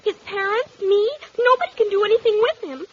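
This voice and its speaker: A woman's voice: very high voice, a little fast. A woman playing an earnest, pleading teenage daughter with a girlish voice in a vintage radio comedy. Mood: urgent pleading. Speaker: actress in a radio sitcom. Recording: muffled vintage radio with faint noise.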